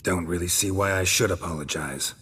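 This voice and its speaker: An actor playing a gruff, stoic monster hunter in a video game, speaking in a terse, wry deadpan. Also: male voice, sits low, a little fast, in a large hall, full character voice. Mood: dry, guarded.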